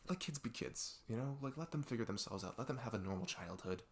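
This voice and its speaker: A man playing an earnest male best friend in an audio roleplay, confiding in a casual, rambling, halting way with lots of filler words. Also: mid-range voice, expressive, slight background noise, close to an everyday voice. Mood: earnest, opinionated. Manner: rambling, halting, filler-heavy, laughs self-consciously. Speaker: ASMR audio roleplay performer. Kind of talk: casual improvised-sounding roleplay monologue addressed to the listener.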